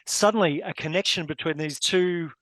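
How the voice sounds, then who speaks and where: A man's voice: fairly high pitch, normal speed, a bit bright, no echo. A man moderating a webinar panel over a video call, asking long questions that wander and correct themselves. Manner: rambling, self-correcting questions. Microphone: video call microphone.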